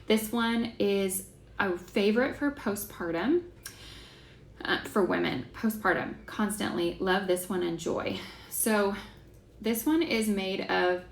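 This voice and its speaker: A woman's voice: mid-range voice, takes it slowly, creaky. A young woman hosting a casual class, talking in a chatty, enthusiastic way with lots of fillers. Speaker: essential oils class host. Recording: echoey room, faint background hiss.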